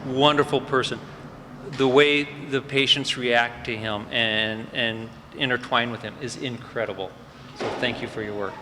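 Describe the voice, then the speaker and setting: A man at a fire department ceremony, introducing each graduate with loose, unscripted remarks and plenty of "uh"s. His voice is bright and forceful. It rings through a large hall with long reverb and faint ambient noise. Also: mid-range voice. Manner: warm off-the-cuff remarks with frequent fillers. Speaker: fire department EMS supervisor presenting cadets.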